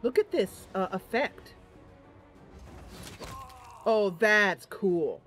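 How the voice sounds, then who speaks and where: A woman's voice: mid-range voice, expressive, drawn-out words, a bit bright, faint music behind. A woman giving casual, chatty let's play commentary, thinking aloud and reacting as she plays. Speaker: gaming channel host.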